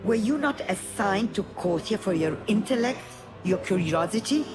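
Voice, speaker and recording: A woman playing a sly, elusive broker in a video game cutscene, speaking in a smooth, insinuating, measured way. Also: medium pitch, expressive, close to an everyday voice. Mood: probing, coaxing. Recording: music behind the voice. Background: game score music.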